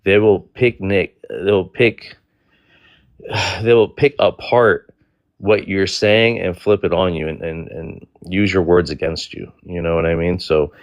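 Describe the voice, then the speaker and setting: A man hosts a casual live-stream panel discussion. His voice sits low, and he rambles with an exasperated edge and frequent restarts. The sound is dry and close, with no room around it. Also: steady tone, a little slow, no room sound. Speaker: panel host.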